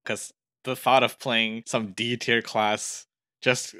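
Bright-voiced male gaming podcast host. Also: mid-range voice, little pitch movement, slow pace, no room sound, studio quality. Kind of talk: casual gaming podcast discussion.

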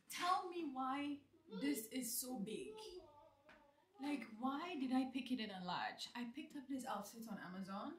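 A woman in her twenties vlogging casually at home, talking to viewers with frequent laughs and animated asides, filmed on a handheld camera. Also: sits high, takes it slowly, pauses often, reverberant, slight background noise. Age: 20s. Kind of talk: casual vlog chat. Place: small apartment kitchen and bedroom indoors. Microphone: handheld camera at arm's length.

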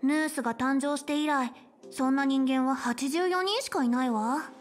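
A woman voicing a young, polite and caring space station chief in a Japanese game, speaking in a measured, articulate way. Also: high voice, natural voice. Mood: calm, friendly. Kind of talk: Japanese game voice acting, explanatory dialogue. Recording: game dialogue over background music.

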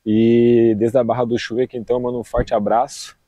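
Mellow, husky southern Brazilian man. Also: medium pitch, little pitch movement, fairly quick, dry recording, lo-fi sound. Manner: slangy, informal. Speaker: travel vlogger. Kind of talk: casual vlog chat. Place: outdoors on an open beach and dunes. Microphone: action camera at arm's length.

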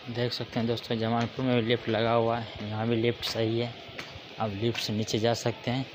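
A man's voice: mid-range voice, completely flat delivery, a little fast, background noise. A man, narrating a casual travel vlog to viewers in an explanatory, repetitive way. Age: 20s. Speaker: travel vlogger. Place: outdoor railway platforms and footbridges. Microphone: phone at arm's length. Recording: loud music behind the voice.

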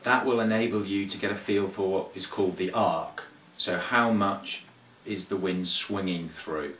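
A man's voice: sits low, fairly even intonation, normal speed, a bit bright, slight background noise. A man in his thirties giving casual sailing tips to camera, thoughtful and hesitant, with many fillers and restarts, recorded indoors in a small room on the camera mic. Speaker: sailing coach. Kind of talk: casual instructional talk to camera. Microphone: camera mic from a few feet away. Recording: roomy, distant camera audio.

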